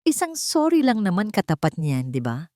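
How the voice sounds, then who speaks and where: A woman's voice: mid-range voice, wildly swooping pitch, normal speed, darkish tone, dry recording. A smug, sneering rich young man from a romance drama audiobook, voiced by a woman narrator. He talks with sarcastic, mocking insincerity in a dry, close recording.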